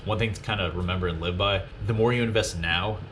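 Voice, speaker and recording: A man's voice: sits low, fast talker, fairly bright tone, slight background noise. A man in his twenties hosting a personal finance video, explaining tips to viewers in a casual, instructive, emphatic way. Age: 20s. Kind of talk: explanatory list-style talk to viewers.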